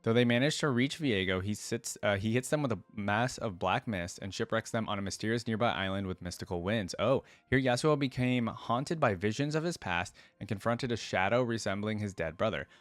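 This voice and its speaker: A man's voice: fairly low pitch, animated, brisk, hardly any pauses, no room sound. A man hosting a reaction video, reading written lore aloud and breaking off for casual commentary. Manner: casual asides while reading.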